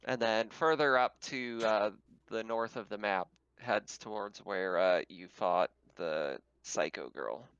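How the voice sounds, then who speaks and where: A man's voice: fairly low pitch, takes it slowly, hardly any pauses, fairly bright tone, smooth, highs cut off. A man acting as game master in casual, informal talk, explaining things and amused, over an online voice call. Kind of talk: casual tabletop game narration and chat.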